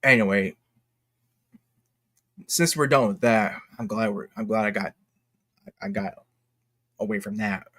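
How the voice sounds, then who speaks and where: An American man giving casual, unscripted online commentary in a halting, repetitive, slangy way. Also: on the low side, a bit bright. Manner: halting and repetitive, slangy, addressing viewers as y'all. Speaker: online video commentator and host. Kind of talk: casual unscripted commentary, reading posts aloud.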